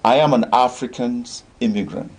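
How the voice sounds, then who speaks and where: A man's voice: medium pitch, moderate pace. An older man from Senegal, a community organization leader, talking earnestly in a discussion show and rallying listeners with repeated phrases.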